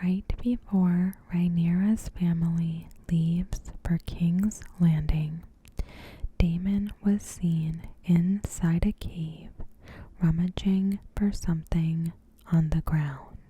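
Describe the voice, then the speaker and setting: A woman softly whispering a scripted recap in a gentle, hushed, breathy ASMR narration. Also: lowish voice, fairly even intonation, slow, a little hiss or hum. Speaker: ASMR host.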